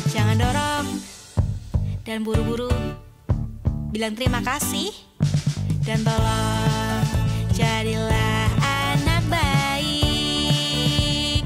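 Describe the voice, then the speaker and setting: A woman singing a simple educational children's sing-along. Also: high-pitched. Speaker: singer of children's songs. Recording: loud musical backing.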